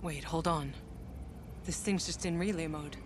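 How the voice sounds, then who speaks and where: A woman's voice: low voice, unhurried, very noisy. An actress playing a tough, foul-mouthed female scout in a video game, talking in terse, gritty reports. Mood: focused. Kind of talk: video game cutscene acting. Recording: music behind the voice.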